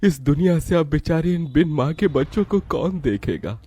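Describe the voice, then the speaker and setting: A man's voice: on the high side, lively intonation, moderate pace, warm tone, husky. A man playing a kindly, sympathetic, paternal village elder and merchant in a cartoon story. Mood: pitying. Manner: sympathetic, fatherly. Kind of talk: acted dialogue for a cartoon story.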